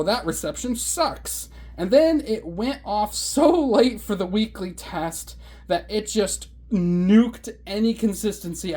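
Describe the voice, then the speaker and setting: A man with a high voice, casually showing his hobby collection in a sarcastic, exasperated, exaggerating rant. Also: darkish tone, breathy edge. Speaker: hobbyist video host. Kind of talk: casual show-and-tell rant.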